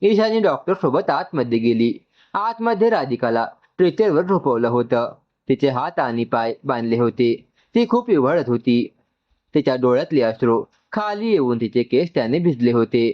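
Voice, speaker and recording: A man's voice: medium pitch, lively intonation, moderate pace. A man narrating a dramatic, emotional story from a script. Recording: slightly dull, lo-fi audio.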